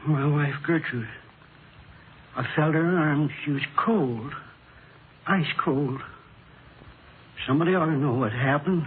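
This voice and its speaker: Elderly widower, male actor, grief-stricken and halting, slightly high for a man, old-time radio drama on a narrow, phone-like recording. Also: slight background noise, lightly acted. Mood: grieving, bewildered. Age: older. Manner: halting, plaintive, broken up. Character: grieving elderly widower, dazed, tired and halting. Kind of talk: 1940s-50s radio drama acting.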